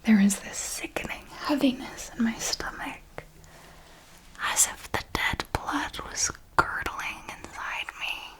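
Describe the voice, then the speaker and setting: A woman whispering an ASMR roleplay as a darkly elegant, possessive vampire mentor, telling it in a deliberate, theatrical way. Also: a little high, low-quality recording. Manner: slow, deliberate, theatrical storytelling.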